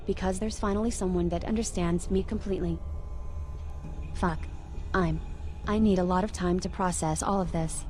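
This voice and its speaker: A woman playing an emotional, apologetic android companion in a video game, speaking in a halting, casual, confiding way. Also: mid-range voice, mellow, natural voice. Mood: relieved, tender, then overwhelmed, affectionate. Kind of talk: scripted video game dialogue. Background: music.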